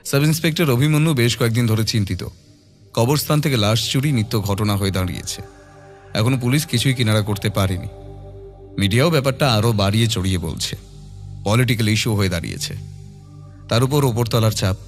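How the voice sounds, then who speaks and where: A man's voice: on the low side, a little fast, pauses often, soft background music. A man narrating a scripted horror audio story in a dramatic storytelling style.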